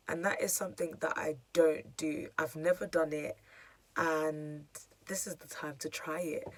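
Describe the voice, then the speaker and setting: A young woman with a hoarse, croaky voice, chatting casually through a makeup tutorial with lots of fillers. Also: sits low, no echo. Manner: chatty, filler-heavy, self-deprecating. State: hoarse, losing her voice. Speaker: beauty vlogger.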